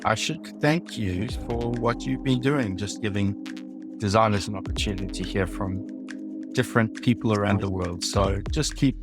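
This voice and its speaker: South African-accented man, measured guest. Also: fairly low pitch, music in the background. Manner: thoughtful, measured, some ums and uhs. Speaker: guest, design leader. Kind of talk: podcast interview.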